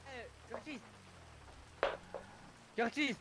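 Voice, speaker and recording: A man's voice: extremely high pitch, extremely slow. A man in casual, slangy and argumentative banter over an online roleplay game's voice chat, often throwing insults. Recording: noisy gaming voice chat.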